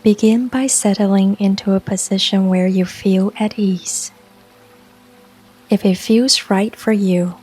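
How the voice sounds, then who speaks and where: A woman narrating a scripted guided meditation in a calm, soothing, unhurried way, with long pauses between phrases. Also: medium pitch, breathy edge. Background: soft music and rain sounds.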